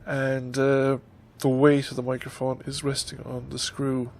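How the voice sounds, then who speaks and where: Stuffy-nosed man with a creaky voice. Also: medium pitch, completely flat delivery, measured pace, darkish tone, a little hiss or hum. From Ireland. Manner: rambling, hesitant, many ums. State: stuffy nose from a head cold. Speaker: YouTuber. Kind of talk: casual vlog update. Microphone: desk microphone on a stand.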